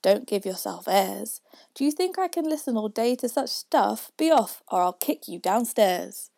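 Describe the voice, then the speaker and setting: A woman voicing a grey-haired old father in storybook verse, boastful, blustering and impatient, reciting loudly and emphatically. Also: medium pitch, lively intonation, normal speed, dry recording, clean studio sound, natural voice. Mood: irritated.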